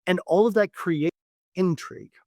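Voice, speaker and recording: A man speaking as a dating coach and podcast host, giving instructional advice in deliberate, measured phrasing with repeated words. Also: high-pitched, warm tone, no room sound, studio quality. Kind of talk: instructional podcast monologue to camera.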